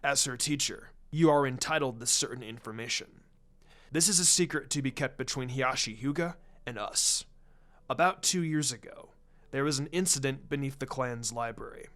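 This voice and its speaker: A man voicing a grave, authoritative old village leader in a story reading, speaking in a measured, deliberate way. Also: medium pitch, a bit bright, pitched below the performer's own voice, natural voice. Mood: grave, confidential. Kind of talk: storybook character voice.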